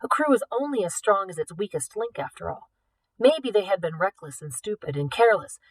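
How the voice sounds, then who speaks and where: Expressive woman reading a story, close and dry. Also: medium pitch, fairly quick, breathy edge, no room sound, low-quality recording. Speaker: audiobook reader, teacher. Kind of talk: expressive scripted story narration.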